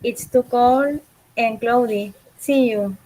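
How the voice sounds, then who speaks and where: A woman student in an online English class, reading a textbook passage aloud slowly and carefully. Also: on the high side, dark tone, low-quality recording.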